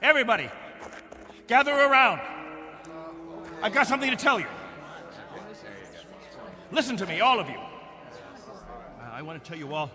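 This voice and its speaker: Desperate, domineering male colony leader; high, bright, preacherly delivery for reverberant radio drama with music. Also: sing-song, a little slow, pauses often, full character voice. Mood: desperate, pleading. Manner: impassioned, preaching, emphatic. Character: desperate, controlling male captain of a stranded colony.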